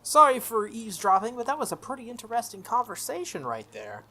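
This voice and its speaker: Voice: medium pitch, wildly swooping pitch, fairly quick, sharp tone, slight background noise, pitched above the performer's own voice, heavily acted. The player of a visual novel voicing a confident teenage schoolgirl, polite and breezy with a cutting edge. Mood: poised, teasing.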